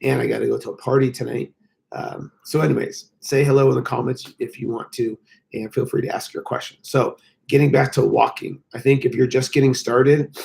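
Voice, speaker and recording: Male fitness coach, hoarse and gravelly, casual rambling live-stream chat, mid-pitched voice. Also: a little mellow. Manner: rambling, frequent fillers. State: hoarse, losing his voice.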